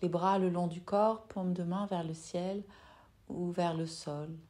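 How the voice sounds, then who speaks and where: A woman yoga nidra teacher leading a guided meditation in a soft, soothing, unhurried way with long pauses. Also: fairly low pitch, steady tone.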